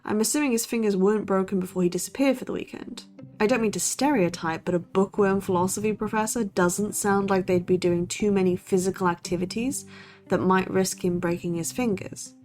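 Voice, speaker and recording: A woman's voice: mid-range voice, brisk, a little mellow, soft background music, lightly acted. A woman playing a skeptical young college true-crime podcaster in an audio drama, with a dry, sardonic, analytical delivery. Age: young adult. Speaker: podcast co-host. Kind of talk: scripted banter in a horror audio drama.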